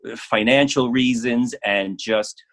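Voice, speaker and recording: A man's voice: mid-range voice, unhurried, fairly bright tone. A middle-aged man speaking casually as an interview guest, often repeating words as he thinks aloud. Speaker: interview guest, playwright and proofreader.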